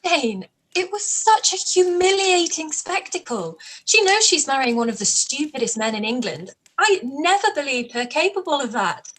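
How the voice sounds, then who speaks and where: Period radio play: woman playing spirited young gentlewoman, high voice, frank, formal, expressive. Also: moderate pace, hardly any pauses, rough recording. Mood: indignant. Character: Elizabeth Bennet, spirited, outspoken young gentlewoman.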